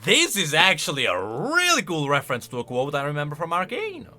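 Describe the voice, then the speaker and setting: A man giving casual, expressive commentary as a video host, thinking aloud as he goes. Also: on the high side, fairly quick, soft background music.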